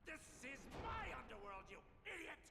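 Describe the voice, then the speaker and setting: A man's voice: extremely high pitch, very animated, moderate pace, creaky, exaggerated voice. An actor playing a hot-tempered, domineering male lord of the underworld in a video game. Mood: furious, commanding. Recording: distant, echoey, very noisy game audio.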